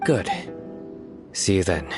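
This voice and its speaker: A man's voice: sits low, sing-song, slow pace, full character voice. A man voicing a curt, authoritative law-enforcement general in a video game, terse and serious. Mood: commanding. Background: game music.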